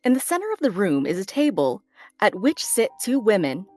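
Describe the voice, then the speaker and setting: A woman's voice: mid-range voice, big pitch swings, moderate pace, darkish tone, dry recording, faint music behind. A woman reading stage directions as scripted narration for a drama.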